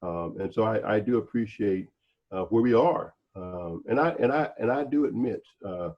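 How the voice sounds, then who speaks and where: Low, husky older man. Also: dull audio. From North Carolina, United States. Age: 60s. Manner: halting, deliberate, many repeated words and pauses. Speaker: panel speaker, former special agent. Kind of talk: reflective discussion.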